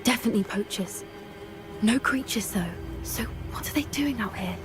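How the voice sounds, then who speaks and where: Fantasy game companion, female actor: young witch, high-pitched, cautious, observant, earnest, over clear background music. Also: normal speed, close to an everyday voice. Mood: cautious, uneasy. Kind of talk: video game cinematic acting.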